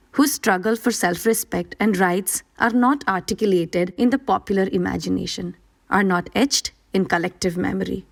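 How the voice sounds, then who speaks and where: A woman podcast host reading a scripted narration in a steady, earnest, explanatory way. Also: mid-range voice.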